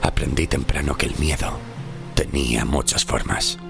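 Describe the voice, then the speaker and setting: A man's voice: fairly even intonation, normal speed, exaggerated voice. An actor playing a haunted, fearful poor sailor of the sixteenth century, telling his story as a solemn, brooding horror monologue in audio fiction. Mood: bitter, dread. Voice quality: very deep, gravelly. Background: music.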